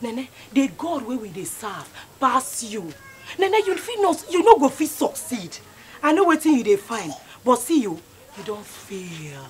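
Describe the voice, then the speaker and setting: An actress playing a loud, scolding young woman with a Nigerian accent. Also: on the high side, very animated, unhurried. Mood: angry. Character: fiery young woman named Lucy, quarrelsome.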